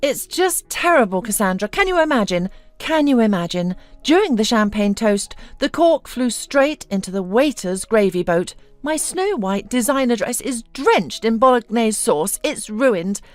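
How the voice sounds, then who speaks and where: Woman acting a haughty, dramatic businesswoman wife, wildly animated. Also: medium pitch, measured pace, no echo. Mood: mocking. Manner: mocking, theatrical, exclamatory.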